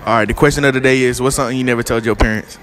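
A man's voice: medium pitch, fairly even intonation, very fast, fairly bright tone, faint music behind. A young man hosting a casual street interview inside a shopping mall, greeting viewers in an upbeat way.

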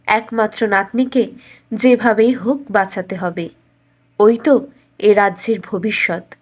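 A woman reading a scripted fairytale as a storyteller. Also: mid-range voice.

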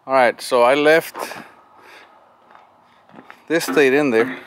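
A man's voice: medium pitch, slow pace, crowd noise. A man chatting casually and jokingly while doing hands-on machinery work outdoors. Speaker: vlogger working on machinery. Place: outdoor gravel yard beside a metal shop building. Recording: noisy outdoor camera audio, machinery hum.

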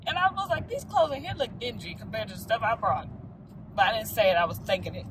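A woman's voice: sits high, a bit bright, a little hiss or hum, low-quality recording. A woman in her late twenties with an American accent, chatting informally and expressively to her viewers as a vlogger. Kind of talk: casual vlog chat to viewers. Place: small furnished room, later parked car.

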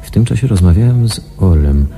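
An actor playing a watchful investigator who muses to himself in a measured radio play monologue. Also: male voice, lowish voice, lively intonation, mellow. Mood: calculating, intent. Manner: musing, deliberate, measured. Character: watchful, analytical undercover investigator thinking to himself. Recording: music clearly under the voice.

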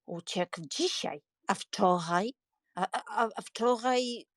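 An actress playing a flustered, pious, sentimental elderly spinster aunt in an audiobook drama, plaintive and fretful. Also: female voice, mid-range voice, slow, no echo, clean studio sound, close to an everyday voice. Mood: flustered, confiding. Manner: plaintive, fretful, easily shocked.

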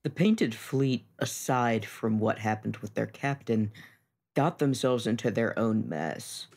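A man's voice: mid-range voice, lively intonation, takes it slowly, gravelly, a little mellow. A man playing a tabletop role-playing game, making his case in a group discussion. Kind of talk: arguing a point in game discussion.

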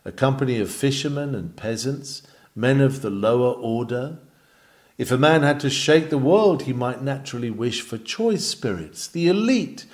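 A man's voice: medium pitch, a little mellow. A man reading an old sermon aloud from a script, in an earnest, oratorical manner with emphatic phrasing. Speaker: reader of historical sermons.

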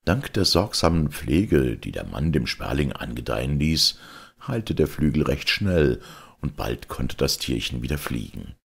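A man's voice: extremely low pitch, big pitch swings, measured pace, creaky, breathy edge. A man reading a fairy tale aloud as a storyteller, in scripted narration.

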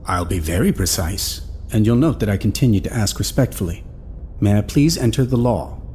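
A man's voice: lowish voice, expressive, normal speed, mellow, slight background noise, close to an everyday voice. An actor playing a patient, persistent man from the country in an audio drama, pleading politely and hesitantly. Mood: polite, insistent.

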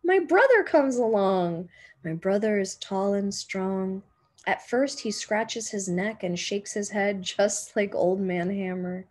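A young woman reads a children's story aloud in an unhurried, slightly husky voice of medium pitch. She narrates expressively, like a teacher, leaning on key words and leaving deliberate pauses. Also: expressive. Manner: deliberate, dramatic pauses and emphasis. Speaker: teacher reading a picture book aloud.

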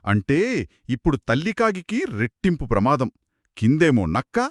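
A man narrates a story for children as if chatting with a partner. His medium-pitched voice moves up and down with great animation and expressive exclamations. The recording is dry, with no room sound. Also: moderate pace, no echo. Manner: expressive, reacts with exclamations and short questions. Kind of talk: conversational children's storytelling dialogue.

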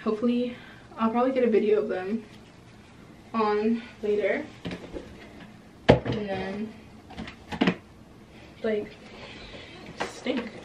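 A woman's voice: medium pitch, steady tone, slow, pauses often, muffled recording, noisy background. Chatty young woman losing her voice. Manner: chatty, says "like" and "oh my gosh" often. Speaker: vlogger. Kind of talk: casual vlog chat. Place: bedroom, then inside a car. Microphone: handheld camera at arm's length.

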